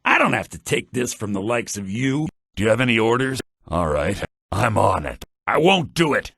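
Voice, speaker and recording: An actor playing a brash, sharp-tongued fantasy townsman and fighter in a video game, with a rough, gravelly voice. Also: fairly low pitch, very animated, normal speed, dry recording, studio quality, heavily acted. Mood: gruff, guarded.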